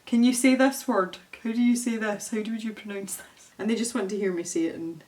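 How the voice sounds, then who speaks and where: A woman's voice: mid-range voice, steady tone, a little fast, a little mellow. A young Scottish woman chatting casually as she paints, thinking aloud and poking fun at herself. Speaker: art channel host. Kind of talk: casual chat while painting.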